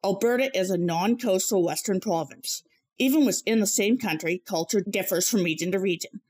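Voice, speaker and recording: A young woman presents her material. Her voice sits at a middle pitch, and she moves between prepared narration and conversational reflection. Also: normal speed, a little mellow, husky. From Canada. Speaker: presenter, PhD candidate.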